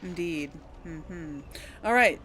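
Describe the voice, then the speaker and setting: A woman's voice: lowish voice, lively intonation, slow pace, bright, forceful tone, slight background noise. Casual woman thinking aloud while gaming. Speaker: gaming video host. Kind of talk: casual let's play commentary with in-character replies.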